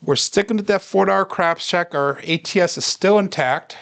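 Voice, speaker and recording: A man talks viewers through a dice practice session in an unhurried, steady way. His voice sits fairly high for a man and has a clean, smooth tone. He sounds relaxed and easy to listen to in the background. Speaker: craps practice live streamer. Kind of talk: casual play-by-play livestream narration.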